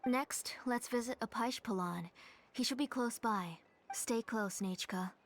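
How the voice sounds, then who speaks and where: A woman voicing a caring, gentle mother in a video game, speaking in a motherly way. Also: on the high side, measured pace, slight background noise. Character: caring, gentle mother of a frail young girl.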